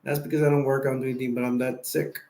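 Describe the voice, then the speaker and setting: A tired, sleepy man hosting a casual late-night live stream, rambling and giving viewers shout-outs. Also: medium pitch, level tone, racing. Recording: echoey room.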